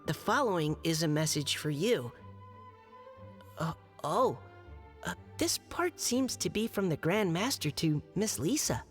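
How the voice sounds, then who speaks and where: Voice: medium pitch, sing-song, measured pace, soft background music. An actor voicing a shy, nervous young knight boy in a video game, polite and hesitant, with a stammer.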